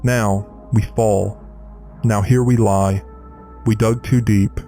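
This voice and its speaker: A man's voice: on the low side, steady tone, takes it slowly, mellow, soft background music. A man voicing a doomed, mournful figure chanting fatalistic horror verse, with a distorted effect. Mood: mournful, hopeless. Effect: distorted, reversed-speech horror processing.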